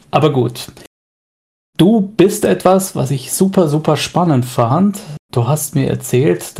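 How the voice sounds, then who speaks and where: A man hosts a podcast interview with a gravelly, mellow voice. He asks questions in a casual, chatty way, with fillers and small asides. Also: medium pitch. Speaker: podcast host.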